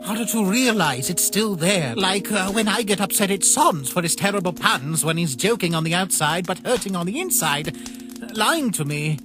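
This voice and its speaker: A man voicing a naive, caring younger-brother skeleton in a comic dub, speaking earnestly and haltingly in a soft plea. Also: sits high, lively intonation. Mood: hesitant, sincere. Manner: earnest, halting, softly pleading. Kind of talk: dramatic comic dub acting. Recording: voice over background music.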